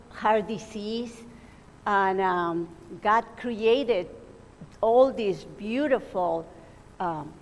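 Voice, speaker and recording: Slow, hesitant woman with a Peruvian accent. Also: medium pitch. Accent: Peruvian Spanish. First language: Spanish. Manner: hesitant, with frequent fillers, reading from notes. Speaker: cooking demonstrator. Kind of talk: live cooking demonstration. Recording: long reverb in a hall.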